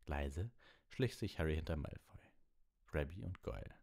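A man's voice: a bass voice, expressive, a little slow, creaky, darkish tone. A man narrating an audiobook in a storybook reading style.

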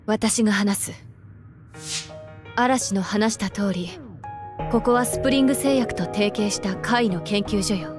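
A woman's voice: mid-range voice, measured pace, pauses often, natural voice. A woman playing a serious, resolute young female soldier in an anime game, composed and direct. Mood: grave. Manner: composed, matter-of-fact. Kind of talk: Japanese anime game voice acting. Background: game music.

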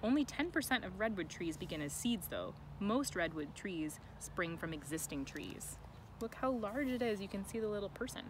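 A woman reading a picture book to children, expressive and deliberate, with chatty asides. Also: mid-range voice. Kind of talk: read-aloud with chatty asides. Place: outdoors in a sunny farm garden. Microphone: camera held by another person nearby. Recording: outdoor ambient noise.

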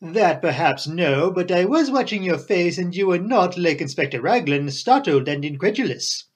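Guy reading does a genial fussy detective, florid formal wording, pitched up and husky. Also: expressive, moderate pace. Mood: genial, teasing. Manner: florid formal foreign-flavoured phrasing. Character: fussy foreign male detective, genial and sly. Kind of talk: audiobook character voice.

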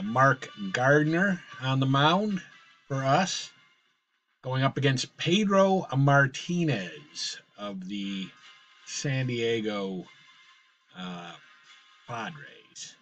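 A man narrates a simulated baseball game in loose, rambling play-by-play, his voice a little raspy from a cold. He talks slowly and corrects himself often. The home recording sounds dull, with faint music underneath. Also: mid-range voice, fairly bright tone. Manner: rambling, with fillers and self-corrections. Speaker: host playing a baseball sim. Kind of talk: casual play-by-play commentary.

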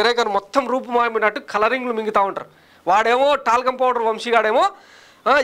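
Man, political commentator, rapid-fire delivery, bright forceful tone, sarcastic, rhetorical questions, studio lapel mic. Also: very high-pitched. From Andhra Pradesh, India. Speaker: political news presenter. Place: studio in front of a large screen.

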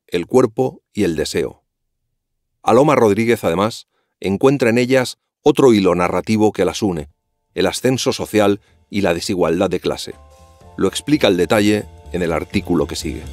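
A man's voice: on the low side, darkish tone, no room sound, clean studio sound. A man delivering the formal scripted introduction of a podcast episode. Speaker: podcast announcer.

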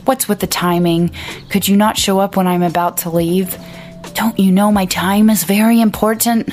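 A woman voicing an irritable, scornful young man who scolds his sister, pitched a little above her narration, with faint music behind. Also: expressive, a little slow, a little mellow, lightly acted.

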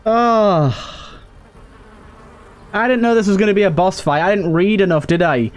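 A man's voice: sits high, normal speed. A man giving casual, informal let's play commentary, thinking aloud with some mild swearing. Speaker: gaming video host.

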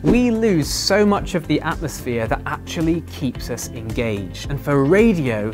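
Chatty male drama teacher in his thirties. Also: on the high side. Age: 30s. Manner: chatty, explanatory, listing examples. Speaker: drama teacher and video presenter. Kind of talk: casual instructional talk to camera. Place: large dark theatre hall, seated at a desk.

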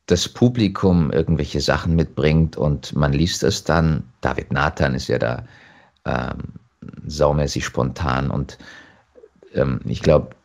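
A man chatting casually as an actor being interviewed, telling stories in an animated way. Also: deep voice, dull audio.

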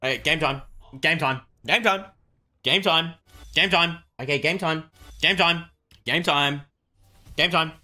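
An excitable video game streamer chatting exclamatorily on a livestream. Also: mid-range voice, measured pace, faint music behind.

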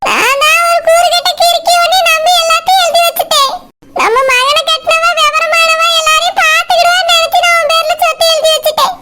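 A woman's voice: very high voice, fairly even intonation, a little fast, sharp tone. A woman playing an aggrieved, pleading old mother-in-law in a family drama skit, with a southern Tamil Nadu accent and a wailing delivery. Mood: wailing indignation. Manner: wailing, imploring. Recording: very noisy recording with clicks.

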